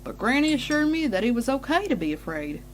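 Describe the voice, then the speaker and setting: A woman voicing a caring country farm pony, gentle and reassuring like a big sister. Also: high voice, big pitch swings, fast, slight background noise.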